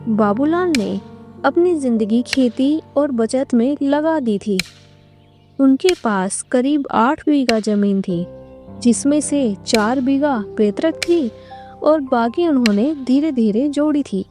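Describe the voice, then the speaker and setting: A woman's voice: sits high, expressive, takes it slowly, music in the background. A woman narrating a scripted cartoon story as a storyteller.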